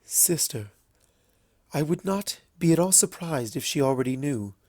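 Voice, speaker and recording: A man voicing a wise, fond and teasing elder pony princess in a storybook reading, gentle and knowing. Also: on the high side, lively intonation, a little slow, no echo, studio quality.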